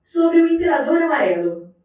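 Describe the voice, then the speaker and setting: A woman acting as a formal archive announcer in an audio drama, high-pitched and impersonal. Her voice rings with heavy echo, as if far from the mic. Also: big pitch swings, moderate pace, noisy background. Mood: formal, detached. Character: formal female archive announcer introducing documents. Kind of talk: scripted announcement. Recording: distant, strongly echoing announcement.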